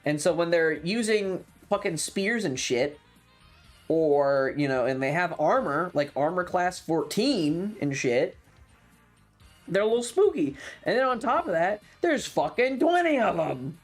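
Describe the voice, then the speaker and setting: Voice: medium pitch, expressive, unhurried. A streamer telling a tabletop game story casually and animatedly, with frequent swearing. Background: background music.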